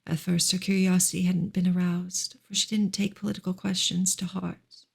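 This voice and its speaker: A woman softly reading a novel in ASMR style, with a breathy voice that often slips toward a whisper and a gentle, measured delivery. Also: fairly low pitch, level tone. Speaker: audiobook-style reader, ASMR host.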